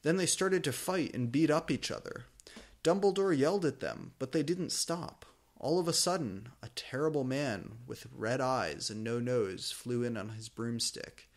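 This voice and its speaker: A man's voice: medium pitch, normal speed. A man narrating amateur fan fiction as a comic dramatic reading, with exaggerated, mock-serious emphasis.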